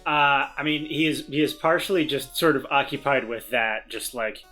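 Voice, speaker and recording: A man with a bright, forward voice talks casually as a player in a tabletop game. He thinks aloud, a little hesitant, with music softly behind him. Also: mid-range voice, steady tone, moderate pace. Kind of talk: casual improvised game chat.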